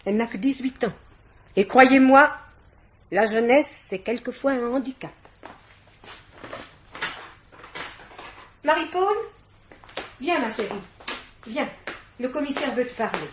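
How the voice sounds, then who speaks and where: An actress playing a dignified, guarded middle-aged guardian aunt in a French radio mystery play, speaking with polite haughtiness. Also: female voice, a little high, lively intonation, moderate pace, long pauses, a little hiss or hum, close to an everyday voice. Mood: tender, protective. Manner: polite, haughty, carefully articulated indignation.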